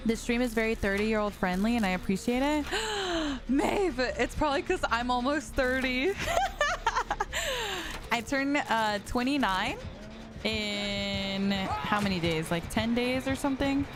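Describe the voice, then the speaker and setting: A woman's voice: a little high, very animated, measured pace. A woman in her late twenties chatting casually with her viewers while she plays a game, reading their messages aloud and answering them. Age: 20s. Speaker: streamer. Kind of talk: casual live-stream chat while gaming. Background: background music.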